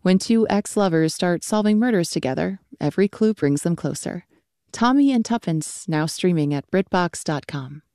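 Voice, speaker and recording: Female announcer, dark and mellow, dry studio sound, measured credit reading. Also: lowish voice, lively intonation, dry recording. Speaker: radio announcer. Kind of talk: read sponsor credits.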